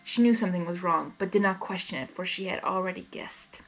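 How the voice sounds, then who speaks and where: A woman reading fan fiction aloud as narrator, expressive and excitable, with casual reactions. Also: fairly low pitch, fairly quick, poor audio.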